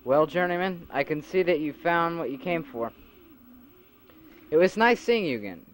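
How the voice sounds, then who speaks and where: A man's voice: on the high side, lively intonation, moderate pace, voice pushed up, close to an everyday voice, low-quality recording. A man putting on a cheerful eager old friend. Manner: bright, enthusiastic and persuasive. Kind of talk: amateur audio drama.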